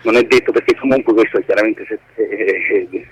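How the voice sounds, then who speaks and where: A man's voice: lowish voice, fairly quick, a little hiss or hum, low-quality recording. A man with a Roman Italian accent giving a casual, unscripted interview as a screenwriter, rambling and correcting himself in colloquial speech.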